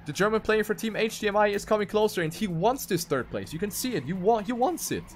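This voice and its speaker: A man's voice: high-pitched, animated, quick pace, slight background noise. A man commentating live on a racing game, calling the action play by play and repeating phrases for emphasis. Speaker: esports race commentator.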